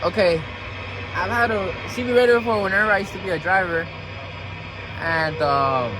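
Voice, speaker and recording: Man, a bit higher-pitched, casual trucker vlogger, slangy, noisy vehicle background. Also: moderate pace. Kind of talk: casual vlog chat. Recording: noisy recording around truck and radio.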